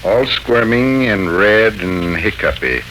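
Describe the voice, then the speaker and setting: Dry, fond uncle for vintage radio serial: low, creaky, swinging intonation, teasing but patient, noisy old recording. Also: male voice, lively intonation, takes it slowly, a bit bright. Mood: gently teasing. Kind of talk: 1940s radio serial acting.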